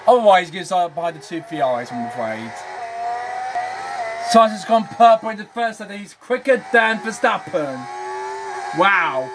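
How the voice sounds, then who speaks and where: A man's voice: high-pitched, big pitch swings, moderate pace, noisy background. A man hosting a gaming channel, commenting on a racing management game while losing his voice, with a repetitive habit of saying "then" and "I'm afraid".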